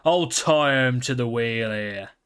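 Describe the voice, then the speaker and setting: A man voicing a gruff, rumpled caravan man in a storybook, blunt and exclamatory. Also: mid-range voice, takes it slowly, fairly bright tone. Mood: surprised.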